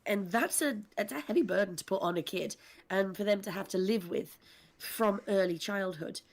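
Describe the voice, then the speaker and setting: A woman's voice: mid-range voice, normal speed. A woman speaking articulately and earnestly at length, explaining characters on a discussion panel.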